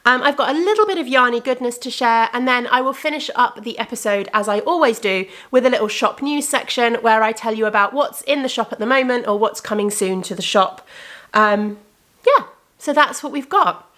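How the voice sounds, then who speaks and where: Woman podcast host, slightly congested, rambling and friendly, fairly high-pitched. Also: fairly quick, a bit bright. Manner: chatty and rambling, with frequent self-corrections. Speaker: podcast host and indie yarn dyer. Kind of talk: casual chat to camera. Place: room with bookcases of yarn behind her.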